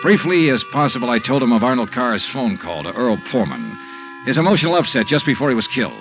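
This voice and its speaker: An actor playing a hard-boiled insurance investigator who narrates and questions people in a dry, clipped, matter-of-fact way, in 1950s radio drama style. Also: male voice, mid-range voice, very animated, fast. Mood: narrating. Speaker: narrator and lead detective. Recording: muffled old radio broadcast over music.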